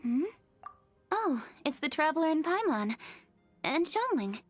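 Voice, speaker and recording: A woman playing a brisk, dutiful young official with an orderly, matter-of-fact manner in a video game. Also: high-pitched, very animated, soft background music. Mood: surprised.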